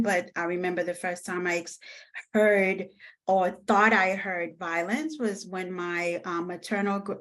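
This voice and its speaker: A woman in her thirties from Haiti, speaking reflectively and hesitantly as a panelist in an online discussion, with many pauses and fillers. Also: fairly low pitch. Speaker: panelist, deputy director.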